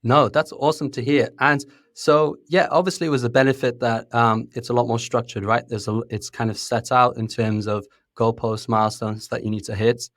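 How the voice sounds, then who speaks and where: Friendly male podcast host, mid pitch, dry sound, quick to laugh. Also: fairly even intonation, fairly bright tone, no echo. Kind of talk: casual interview questions.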